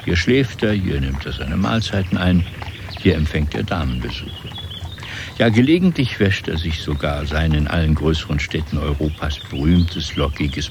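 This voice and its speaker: A male narrator of a radio drama with a very deep voice. He delivers literary prose in deliberate, measured phrases. Clear ambient noise runs underneath.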